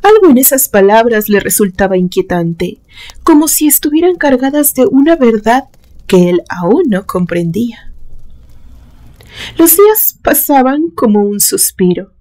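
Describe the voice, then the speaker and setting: Woman narrating a suspense story with deliberate pauses. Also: a little high, warm tone. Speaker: narrator, channel host. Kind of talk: dramatic suspense audiobook narration.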